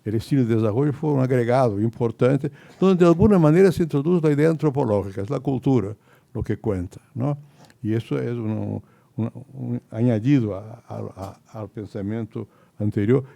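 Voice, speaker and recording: Older man, gravelly voice, Spanish. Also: mid-range voice, animated, warm tone. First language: Portuguese. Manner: halting, many restarts and repeated words. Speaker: panelist. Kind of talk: panel debate remarks.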